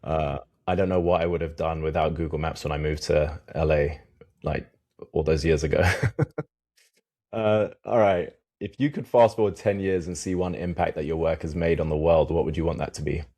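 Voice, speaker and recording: A male podcast host with a very deep voice. He chats in a friendly, easygoing way, with a good number of "um"s and "like"s between his questions. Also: fairly even intonation, normal speed, warm tone. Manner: friendly, chatty, with filler words. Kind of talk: casual interview hosting.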